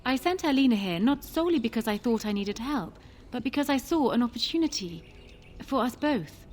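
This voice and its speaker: An actress playing a proud, ambitious queen in a fantasy video game, speaking formally with calm, measured persuasion. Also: on the high side, a little hiss or hum, lightly acted. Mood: persuasive. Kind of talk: fantasy game dialogue acting.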